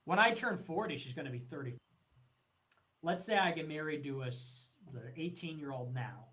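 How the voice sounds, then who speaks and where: A man's voice: on the high side, expressive, moderate pace, bright, forceful tone, husky, rough recording. A man giving an informal opinion monologue in an argumentative, emphatic way. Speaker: commentator in a played clip.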